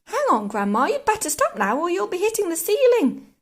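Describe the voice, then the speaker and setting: Woman as young storybook boy, high-pitched, excitable, urgent, calling out with animated swings. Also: unhurried, slight background noise, heavily acted. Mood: alarmed excitement. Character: excitable young boy alarmed by his grandmother.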